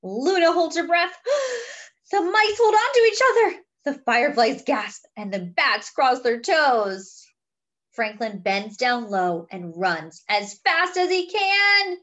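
A woman's voice: high-pitched, very animated, slow, a bit bright, muffled recording. A woman reading a picture book aloud expressively, with chatty, wondering asides to young listeners. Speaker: children's story reader.

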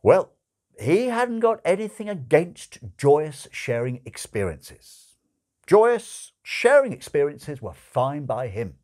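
Expressive older man reading comic fiction. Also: on the high side, takes it slowly. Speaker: audiobook-style reader. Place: small furnished room at home, indoors.

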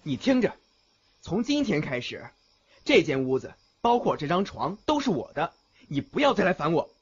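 A man's voice: on the high side, big pitch swings, normal speed, breathy edge, heavily acted. A man playing a curt, cool young male student who sees ghosts, in an audio drama. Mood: annoyed. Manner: curt, cool, threatening when annoyed. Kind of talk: Chinese audio drama acting. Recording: dull lo-fi audio, background music.

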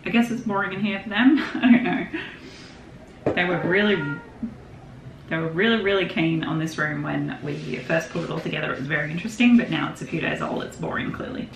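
A woman's voice: sits low, steady tone, a little fast, gravelly. A young woman from Australia chatting casually on camera in her home craft room, rambling a little and laughing often as she talks. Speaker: vlogger. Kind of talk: casual shopping haul chat. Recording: echoey room with background noise.

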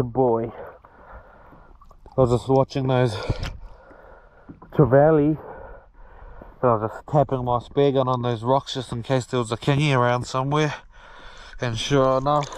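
A man's voice: mid-range voice, steady tone, unhurried, long pauses. Laid-back New man, spearfishing. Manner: laid-back, plain-spoken, slangy. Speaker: spearfishing vlogger. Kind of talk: voice-over narration and in-water commentary. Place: at sea, in the water, outdoors. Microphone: action camera on his head. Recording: music under the narration; water noise in the field.

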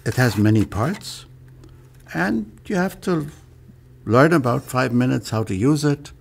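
An older man explains a lighting product on camera in a studio, showing it with his hands as he talks in a patient, measured way. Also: mid-range voice, expressive, vocal fry, slightly rough.

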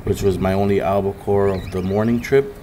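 Man narrating a fishing trip on a loud boat. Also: fairly low pitch, fairly even intonation, a little fast. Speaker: vlogger, angler. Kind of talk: casual chat and voice-over narration. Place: open deck of a fishing boat at sea, outdoors. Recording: loud boat engine and wind noise.

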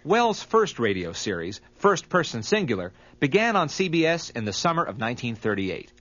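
A man's voice: mid-range voice, very animated, normal speed, fairly bright tone, slight background noise. A man reading scripted narration as the narrator of a documentary. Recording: slightly dull, lo-fi audio.

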